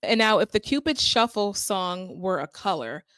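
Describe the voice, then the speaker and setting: A woman's voice: mid-range voice, animated, normal speed, a bit bright, dry recording, studio quality. A woman with a Northeastern US accent interviewing a guest, bubbly and laughing often. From Connecticut. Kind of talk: upbeat casual interview.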